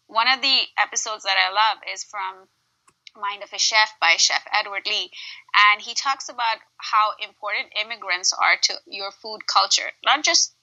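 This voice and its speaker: Guest: a bright-toned woman in her thirties from Dubai, animated and chatty, dull lo-fi podcast sound. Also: mid-range voice. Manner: animated, chatty, laughs often. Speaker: podcast guest, home cook. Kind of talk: casual interview chat.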